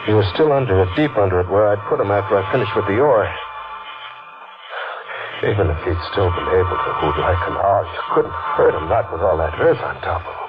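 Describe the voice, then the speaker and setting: A desperate killer played by a man narrates in a very deep voice, brooding and haunted. His phrases come clipped and hesitant, in 1940s radio mystery style. Loud music runs through the muffled vintage recording. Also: a little fast, lightly acted. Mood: haunted, tense. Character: desperate, scheming man, a guilty killer confessing his crime.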